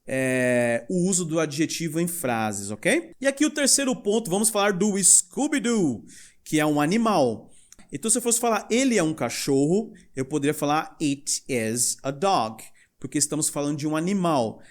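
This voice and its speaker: A young male English teacher with a Brazilian Portuguese accent, explaining grammar in a lively way and reading example sentences slowly. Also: on the high side. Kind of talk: recorded language lesson.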